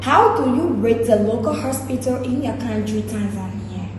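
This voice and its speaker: A woman's voice: on the high side, normal speed. A woman hosting an interview, speaking in a formal, ceremonious broadcast style. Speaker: interview host. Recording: echoey room, distant mic, noisy.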